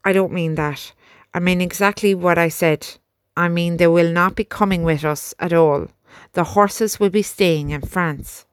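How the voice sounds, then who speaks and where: A stiff, reluctant army major, male, giving bad news in slow, measured words, as a woman with a lilt reads him. His tone stays firm and subdued. The sound is dry and close. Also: lowish voice, fairly even intonation, smooth, dry recording, natural voice. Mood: stern.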